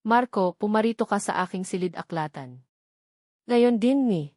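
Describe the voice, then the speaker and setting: A woman's voice: mid-range voice, animated, moderate pace, darkish tone, no echo, clean studio sound, natural voice. A woman storyteller voicing a stern elderly father, a rich patriarch who speaks in a commanding, uncompromising way.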